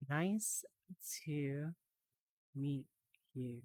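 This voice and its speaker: A woman with a low, deep voice talks slowly through a VR headset mic, tired and slightly hoarse from a long stream. She chats casually with her viewers, sounding out words carefully and laughing easily. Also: a little mellow, no room sound, poor audio. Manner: chatty, reads aloud slowly, laughs easily. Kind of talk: casual live-stream chat while learning Japanese.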